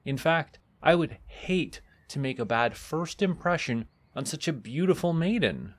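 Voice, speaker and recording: Archfey captor, played by a man, male, immortal; very animated intonation, silky, teasing, condescendingly affectionate; fantasy audio roleplay. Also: a little high, measured pace. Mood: amused, teasing. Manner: courtly, coaxing, condescendingly affectionate.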